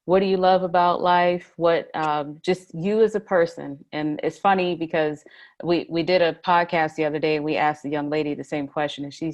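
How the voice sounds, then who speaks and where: Low-voiced upbeat woman host. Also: a little mellow. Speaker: host and life coach. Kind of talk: casual video interview.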